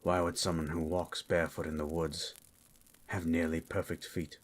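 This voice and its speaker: Casting: male actor for a menacing hired monster slayer, fantasy audio roleplay. Husky, extremely deep, terse and cold, with flat delivery. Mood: grim resolve. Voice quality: husky, very low. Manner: slow, deliberate, terse, coldly interrogating. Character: grim, menacing male monster hunter for hire, calmly threatening. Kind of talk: improvised ASMR fantasy roleplay.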